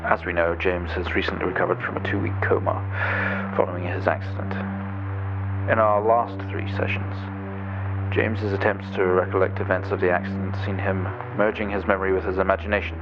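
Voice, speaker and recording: An actor playing a calm, clinical psychiatrist who speaks in a soothing, deliberate, coaxing way in a video game drama. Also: male voice, deep voice, muffled recording, noisy background. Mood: clinical, formal. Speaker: doctor leading a therapy session.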